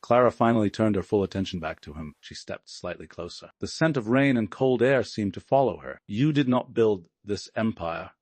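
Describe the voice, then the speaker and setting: A man narrates dramatic fiction in a low-pitched voice, captured dry and close with no room around it. He delivers the scripted prose as an audiobook storyteller. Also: expressive, a little fast, few pauses, darkish tone, dry recording.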